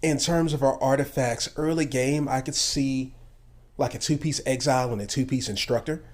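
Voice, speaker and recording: A man's voice: medium pitch, normal speed, a little mellow. A man giving casual game commentary, informal and thinking aloud as he goes. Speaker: gaming video creator.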